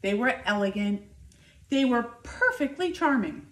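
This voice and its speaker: Female storyteller for children, very lively intonation, unhurried and emphatic, recorded in an echoey room. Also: on the high side. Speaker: school nurse reading aloud to children. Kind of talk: animated children's picture book read-aloud. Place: living room by a fireplace, indoors. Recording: roomy, echoing indoor sound.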